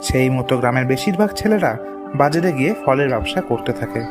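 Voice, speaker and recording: A man's voice: mid-range voice, animated, a little fast. A man narrating a scripted moral tale for a cartoon. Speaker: story narrator. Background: music.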